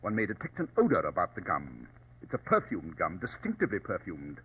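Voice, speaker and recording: An actor playing a brilliant, commanding British detective in a theatrical, precise old-time radio drama style. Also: male voice, sits low, huge pitch swings, a little fast, breathy edge, exaggerated voice. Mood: explanatory. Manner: theatrical, precise, self-assured. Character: brilliant, confident, commanding English male detective. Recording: dull vintage radio recording.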